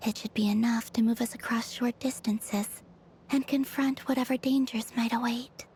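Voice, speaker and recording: Actress as a gentle, higher-voiced moon maiden. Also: female voice, steady tone, moderate pace, a little hiss or hum, close to an everyday voice. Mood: gentle, resolved. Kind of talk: fantasy game voice acting.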